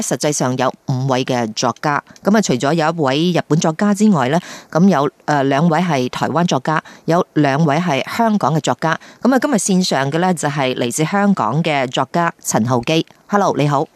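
Lady doing a radio interview show, lowish voice, really dry sound. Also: no echo. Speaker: radio programme host.

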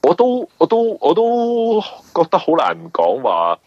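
Man, Hong Kong accent, raspy voice, halting interview explanations, repeated words, dry muffled recording. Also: high voice, wildly swooping pitch, dry recording. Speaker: mystery novelist being interviewed.

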